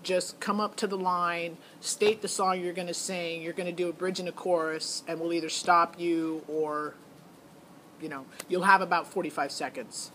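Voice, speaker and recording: A woman's voice: lowish voice, fairly even intonation, moderate pace, fairly bright tone. Middle-aged woman chatting over background noise. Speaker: parent recounting a child's audition. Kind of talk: casual chat, personal storytelling.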